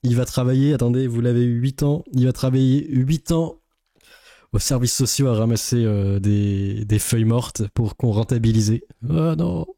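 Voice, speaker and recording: A man's voice: medium pitch, normal speed, warm tone, no echo, clean studio sound. A man giving casual, sardonic, complaining live commentary as a streamer. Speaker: streamer, reaction host.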